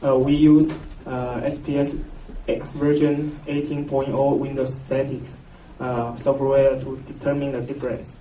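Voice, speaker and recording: Male academic presenter, Taiwanese accent, halting and unsure, full of pauses; mellow tone, picked up from far off. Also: medium pitch, flat delivery. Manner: hesitant, halting, with very frequent filled pauses. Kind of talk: scientific conference presentation in halting English. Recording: roomy, noisy, distant mic, muffled echo.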